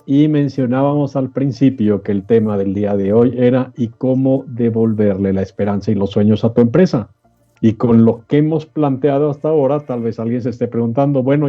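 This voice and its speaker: A man hosting a panel discussion on business, putting rhetorical questions to the listener. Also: medium pitch. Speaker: host. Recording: dull lo-fi audio, music behind.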